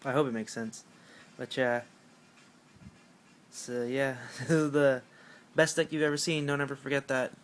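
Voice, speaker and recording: A young man talks at home in a loose, rambling way, leaning on fillers and joking at his own expense. His voice sits at a middle pitch and sounds a little raspy, and a faint hum of noise runs underneath. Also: fairly even intonation, normal speed, long pauses. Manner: rambling, filler-heavy, self-mocking with frequent clearing of the throat. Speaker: card game deck profiler. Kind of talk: casual talk to camera.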